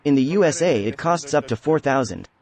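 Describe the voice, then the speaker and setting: A man's voice: mid-range voice, animated, fairly quick, a little mellow. Man answering briefly about his car. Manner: brief, matter-of-fact answers. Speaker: car owner guest. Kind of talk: interview answers.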